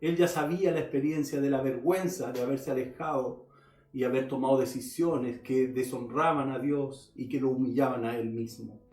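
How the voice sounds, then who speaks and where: A man's voice: medium pitch, steady tone, normal speed, darkish tone, far from the mic, faint music behind. A male pastor preaching a sermon, earnestly addressing his listeners and reading scripture aloud. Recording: roomy echo.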